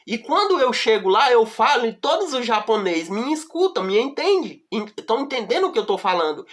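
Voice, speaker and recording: A woman with a Brazilian accent teaching a Bible study, reading verses slowly and deliberately and often checking in with the listener. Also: a little high, fairly bright tone. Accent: Brazilian Portuguese.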